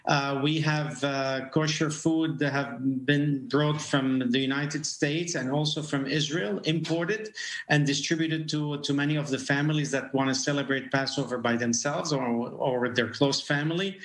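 A middle-aged man from Lebanon, a rabbi giving a remote news interview, speaking haltingly with many fillers and repeated words. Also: medium pitch, fairly even intonation, fairly bright tone. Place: home room with bookshelves. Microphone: video call. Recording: echoey room on a video call.

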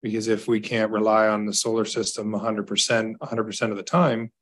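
A Canadian man in his thirties, a staff member reporting to a council over a video call from an office, measured and hesitant with fillers. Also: fairly low pitch, fairly even intonation, fairly bright tone. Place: small office.

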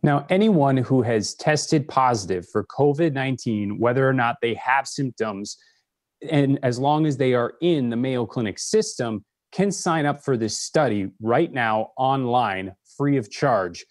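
A man in his thirties, a news reporter, wrapping up a story in a clear, conversational way from a room at home. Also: mid-range voice, unhurried, darkish tone, dry recording, clean studio sound. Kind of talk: live news wrap-up.

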